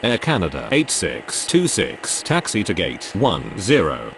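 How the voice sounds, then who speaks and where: Deep-voiced man making air traffic calls. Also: huge pitch swings, measured pace, fairly bright tone. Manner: clipped, formulaic radio calls with call signs. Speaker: air traffic control game player. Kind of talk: reading aviation radio phraseology.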